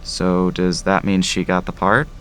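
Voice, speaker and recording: A man's voice: sits low, flat delivery, unhurried, a bit bright, a little hiss or hum. A man acting in an audio drama as an eager, pushy, proud father of a young actress. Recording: music under the voice.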